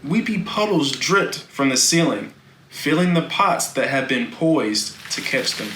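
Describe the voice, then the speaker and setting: Echoey, guy reading a kids novel out loud, slow dramatic narration, bright slightly high voice. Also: lively intonation. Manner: deliberate, dramatic. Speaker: storybook reader. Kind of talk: expressive scripted children's novel narration. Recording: echoey room, faint background noise.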